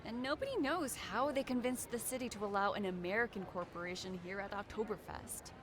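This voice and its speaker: A woman's voice: medium pitch, fairly quick, background noise, natural voice. An actress playing a wry, playful, chatty young woman in a video game. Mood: informative, wry. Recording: music behind the voice.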